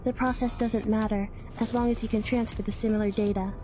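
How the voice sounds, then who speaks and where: A woman playing an emotionless teenage alien girl in an anime fan dub, speaking in a formal, deadpan way. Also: fairly high pitch, a little fast, music in the background, lightly acted. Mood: flat, detached. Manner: flat, deadpan, formal technical phrasing.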